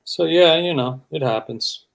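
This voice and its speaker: A man, a rock bassist, talking casually as a guest in an interview. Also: measured pace, creaky.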